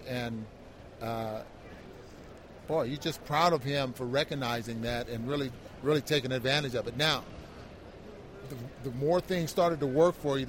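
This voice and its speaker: An American man giving conversational post-game basketball commentary as an analyst, halting and reflective, often repeating words. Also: medium pitch, slight background noise.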